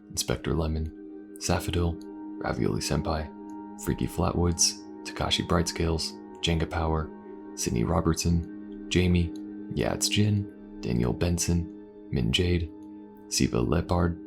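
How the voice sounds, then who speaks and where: Male creator-narrator for scripted end credits: extremely low, creaky voice over light music. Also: unhurried.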